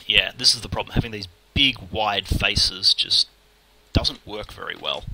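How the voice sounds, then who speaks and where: A man with an Australian accent talking fast and casually through a screen-recorded modelling tutorial, muttering at the software as he works. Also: on the low side, vocal fry, a little hiss or hum, low-quality recording. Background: keyboard typing and mouse clicks.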